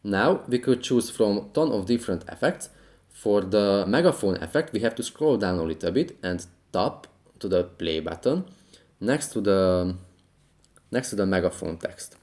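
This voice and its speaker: A man's voice: on the low side, expressive, moderate pace, a little mellow. A man hosting a tutorial, giving scripted step-by-step narration. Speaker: tutorial host.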